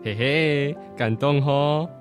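A man's voice: fairly high pitch, takes it slowly, soft background music. A teenage boy acting a cheeky, unrepentant grown-up younger brother in a radio drama. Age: teens.

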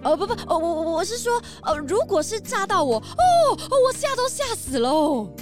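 An excitable, tactless passenger in a kids' radio mystery. Her very high voice jumps around, full of exclamations. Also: normal speed, faint music behind. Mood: flustered. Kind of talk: children's detective audio drama.

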